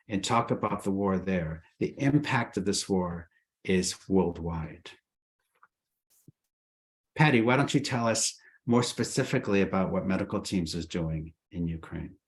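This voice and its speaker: A man with a fairly low voice speaks at a panel discussion. He talks in halting phrases, with many fillers and self-corrections as he lays out his points. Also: lively intonation. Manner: hesitant, many fillers and restarts. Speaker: relief agency speaker. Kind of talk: panel talk.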